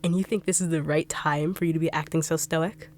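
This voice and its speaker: A woman voicing a greedy bodyguard turned villain who taunts with cold, quiet menace. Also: low-pitched, steady tone, a little fast, slight background noise, lightly acted. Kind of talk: audio roleplay acting.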